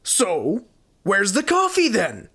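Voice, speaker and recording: A woman's voice: medium pitch, wildly swooping pitch, slow pace, a bit bright, breathy edge, exaggerated voice. A woman playing a brash, demanding, indignant client with folksy speech in a comic audio drama. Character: pushy, indignant, demanding detective agency client.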